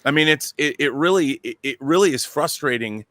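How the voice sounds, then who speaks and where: A man's voice: a little high, a little fast, sharp tone, no room sound. Emphatic man giving opinionated commentary, dry sound. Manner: emphatic, repeats himself, rhetorical questions. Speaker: show panelist.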